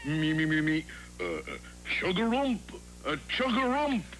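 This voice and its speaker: A man voicing a stubborn, put-upon young frog in an animated fairy tale, wry and complaining. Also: high voice, a little slow, soft background music, heavily acted. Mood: forlorn.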